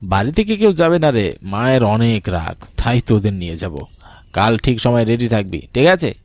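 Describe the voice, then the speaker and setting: Man playing animated, chatty young villager. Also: fairly low pitch, normal speed, dry recording. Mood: insistent. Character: eager, sociable young village man planning a wedding trip. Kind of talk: cartoon dialogue.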